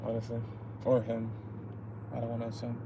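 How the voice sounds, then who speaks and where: A man's voice: medium pitch, completely flat delivery, a little fast, background noise. A man chatting casually on a livestream from his car, laid-back with mumbled asides and hyped bursts. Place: in a car at a drive-through.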